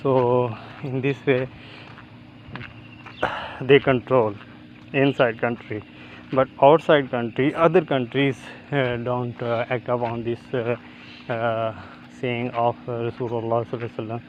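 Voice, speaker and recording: Man, Pakistani accent, halting fragmented delivery, many fillers, mid-pitched, casual vlogger, outdoors, dull muffled audio. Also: fairly even intonation, a little hiss or hum. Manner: halting, hesitant, filler-heavy, short fragmented phrases. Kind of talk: casual walk-and-talk monologue to viewers. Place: outdoors, walking on university grounds.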